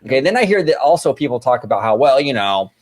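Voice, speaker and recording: A man's voice: medium pitch, very animated, quick pace. A man hosting a casual podcast, brash and chatty, swearing freely and repeating his phrases as he riffs. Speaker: podcast host. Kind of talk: casual chat.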